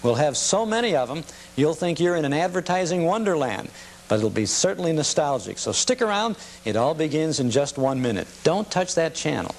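A man's voice: on the high side, sing-song, a little fast, a little hiss or hum. A man hosting a show, giving a scripted introduction. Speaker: host.

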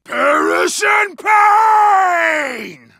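Savage, high-roaring berserker warrior. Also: male voice, lively intonation, very slow, no room sound, heavily acted. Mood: savage taunting. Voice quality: gravelly. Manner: forceful, bellowing exclamations. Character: savage, boastful berserker warrior raised in the wild. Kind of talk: video game battle barks.

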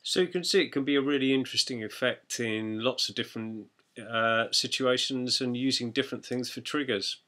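A man narrating an informal software tutorial in a conversational, explanatory way. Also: medium pitch, fairly quick, hardly any pauses. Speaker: music production tutor.

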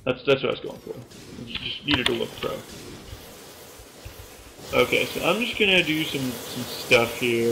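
A young man from Canada giving joking, self-mocking commentary over his own gameplay at home, now and then shouting at the screen. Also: mid-range voice, creaky, background noise. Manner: joking, self-mocking, bursts of yelling at the game. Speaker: gaming YouTuber. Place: small room at home.

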